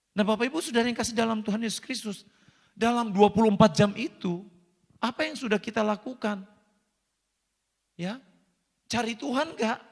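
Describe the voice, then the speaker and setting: Pastor, male, high gravelly voice, emphatic and repetitive sermon delivery, long hall reverb. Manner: repeats phrases, addresses congregation directly, emphatic rises. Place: church hall.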